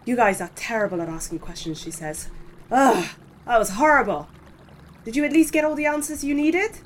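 A woman's voice: on the high side, brisk, a little hiss or hum. A woman playing a tabletop role-play game, narrating and speaking for her own character.

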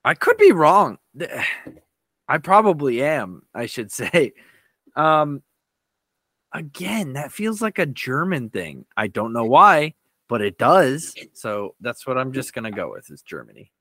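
A man with a bright, lively voice that swings widely in pitch. He banters casually as a podcast co-host, joking and animated as he works through quiz answers. The sound is close and dry. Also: unhurried, no room sound.

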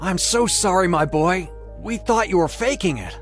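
High-pitched earnest dad voiced by a man. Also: lively intonation, normal speed, a bit bright, soft background music. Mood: remorseful. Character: father of a teenage boy. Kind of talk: animated story voice acting.

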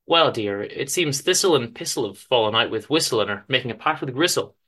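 Man acting storybook husband, chirpy, affectionate, forced cheer, bright forceful voice, raised pitch. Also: lively intonation, fairly quick, husky. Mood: wry. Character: jobless husband, breezy and affectionate, putting on cheer.

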